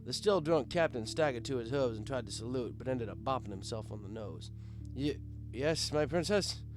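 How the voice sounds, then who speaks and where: Male narrator, conversational, wry, first-person bartender storytelling, guitar music clearly behind. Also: medium pitch, a little fast.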